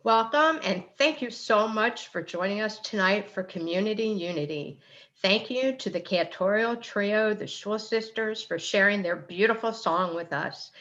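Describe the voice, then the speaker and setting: A woman's voice: mid-range voice, fairly bright tone, lo-fi sound. A woman co-hosting a community event, reading prepared welcome remarks and thanking everyone. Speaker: event co-chair and host. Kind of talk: scripted welcome speech.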